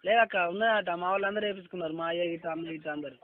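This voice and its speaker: A man's voice: sits high, rapid-fire. A man with a rural Telangana Telugu accent calling customer care, pleading insistently and repetitively in informal, rustic speech over the phone. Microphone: telephone line. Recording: dull lo-fi phone call.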